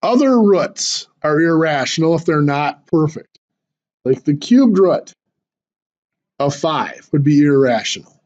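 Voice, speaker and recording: Guy teaching a math class, high voice, kinda hoarse, muffled lo-fi recording. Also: very animated, a little slow, darkish tone. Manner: explains step by step with fillers and restarts. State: losing his voice, clearing throat.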